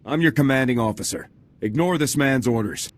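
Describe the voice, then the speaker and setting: A man plays a military squad commander for a video game. His voice has a medium male pitch. He sounds firm and authoritative, stating things plainly without fuss. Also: lively intonation, a little fast, lightly acted. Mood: stern, commanding. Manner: authoritative, matter-of-fact.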